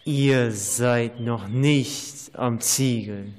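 Someone putting on a spooky all-seeing owl, super slow n solemn, echoes on and on, radio play. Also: mid-range voice, darkish tone, voice pushed down. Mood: eerie, ominous. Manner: drawn-out, ominous. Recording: echoing, long reverb.

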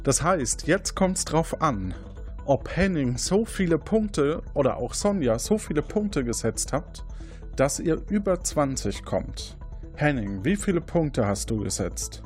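A man's voice: a little high, very animated, measured pace, a little mellow, faint music behind. A man hosting a light quiz show, addressing the contestants conversationally. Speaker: quiz host.

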